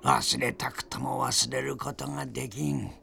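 An actor playing a spirited old grandfather in an audio drama, narrating his memories with theatrical, deliberate pauses and a gravelly voice. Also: medium pitch, steady tone, bright, forceful tone, heavily acted. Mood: nostalgic. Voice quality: gravelly, creaky. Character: spirited old grandfather reminiscing fondly about past adventures.

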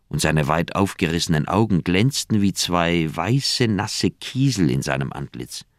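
An actor playing a grave older doctor in a German radio play, recounting a haunting memory in measured, literary phrases with dramatic pauses. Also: male voice, deep voice, dry recording, studio quality.

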